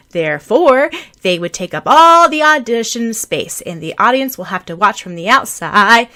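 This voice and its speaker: A woman's voice: a little high, bright, forceful tone, pitched above the performer's own voice. A woman voicing a mock-serious schoolboy who lectures emphatically, in an audiobook of anime fan fiction.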